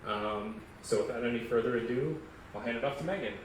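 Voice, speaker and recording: A man hosting a library event, giving a relaxed, informal introduction of a guest author. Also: mid-range voice, fairly quick. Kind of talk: brief introduction. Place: public library meeting room. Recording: echoey room from far off, faint noise.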